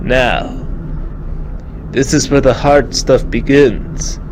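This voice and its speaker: A man voicing a patient, wise Jedi master who instructs pupils deliberately, with dramatic pauses, in an amateur fan story. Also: a little high, slight background noise. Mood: stern, concerned.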